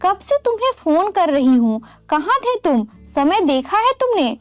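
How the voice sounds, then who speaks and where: Insistent, high-voiced sister-in-law read by a woman. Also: sing-song, unhurried, dry recording, soft background music, exaggerated voice. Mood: anxious, scolding.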